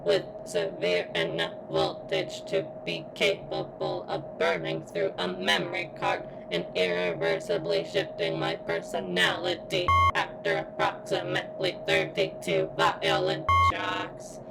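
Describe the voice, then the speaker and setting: A woman voicing a guarded robot girl who yearns for sentience in an ASMR roleplay, speaking in stilted, formal, halting phrases with a strained, pained sound. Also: a bass voice, flat delivery, heavy background noise. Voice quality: strained, as if in constant pain.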